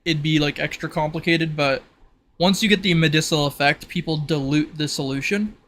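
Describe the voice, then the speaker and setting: A man with a fairly high, bright and forward voice acts as game master. He casually explains tabletop game rules in a conversational manner, with faint noise behind him. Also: brisk.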